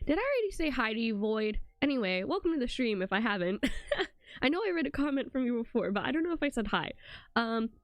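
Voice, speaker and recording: A woman's voice: fairly high pitch, sing-song, fast talker, dry recording, lo-fi sound. A young woman with a congested voice chatting casually to her live stream, rambling with lots of "like" and "um" fillers. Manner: rambling, full of "like" and "um".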